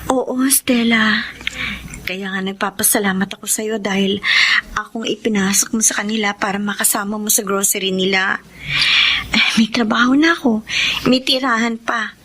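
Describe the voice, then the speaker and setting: An actress playing a humble, betrayed young housemaid in a Filipino radio drama, emotive and pleading. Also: female voice, mid-range voice, steady tone, normal speed, long pauses, a bit bright, natural voice. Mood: subdued. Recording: music behind.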